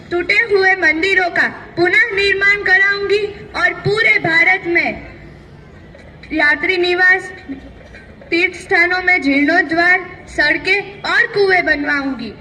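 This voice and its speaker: A woman's voice: very high voice, far from the mic, low-quality recording. A woman playing a proud, resolute widowed Maratha warrior queen in a theatrical, declamatory, earnest monologue. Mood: outraged, resolute. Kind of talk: theatrical one-person stage monologue.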